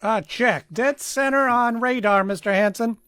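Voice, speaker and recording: A man playing a dutiful, brisk junior radar operator in radio drama style. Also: very high voice, pushed and bright, dry recording, studio quality, exaggerated voice.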